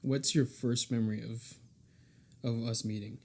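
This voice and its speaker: A man's voice: mid-range voice, flat delivery, normal speed. A young man hosting a podcast, asking questions in a playful, jokey way. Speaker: podcast host. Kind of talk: casual interview chat.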